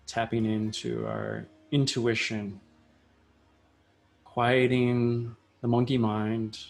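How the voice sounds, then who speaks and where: A man leading a guided meditation in a soothing style, speaking in short, measured phrases with long pauses. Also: on the low side. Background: soft plucked guitar music.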